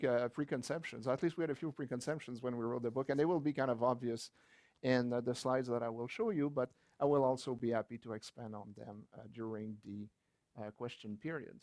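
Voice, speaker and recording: A man's voice: mid-range voice, brisk, darkish tone, no echo. A man with a French Canadian accent, a native French speaker from Quebec, giving a chatty, anecdotal book-launch talk with light chuckles and fillers. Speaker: author and guest speaker.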